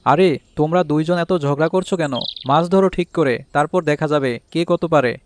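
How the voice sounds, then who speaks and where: Chirping birds and clear background noise surround a village husband acted by a man in a cartoon. His voice sits fairly high for a man. He speaks as an encouraging fish farmer who keeps the household at peace. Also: a little fast, close to an everyday voice. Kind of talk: Bangla cartoon voice acting.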